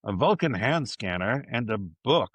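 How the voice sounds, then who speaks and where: A man with a mid-pitched voice that moves around with great animation as he hosts a podcast news segment. He is playful and enthusiastic, dropping wry asides into a partly scripted delivery. The sound is dry and close. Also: big pitch swings, measured pace, fairly bright tone, husky, no room sound. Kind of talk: lively fan news presentation, partly scripted.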